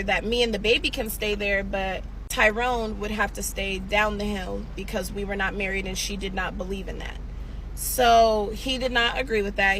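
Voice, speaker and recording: A woman's voice: mid-range voice, fairly bright tone, slight background noise, low-quality recording. A woman, telling a personal story in a candid, chatty way and talking straight to her viewers. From San Diego, California. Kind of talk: casual story time vlog.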